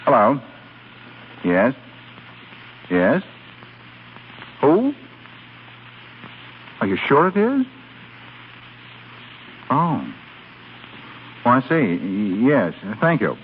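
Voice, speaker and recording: Suave young man, lively vintage radio. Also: mid-range voice, very slow, long pauses, phone-quality sound, exaggerated voice. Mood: puzzled, curious. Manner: smooth, amused, lightly teasing. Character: suave, teasing young man, a devoted nephew. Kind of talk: 1930s radio acting. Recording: thin, noisy 1930s radio recording.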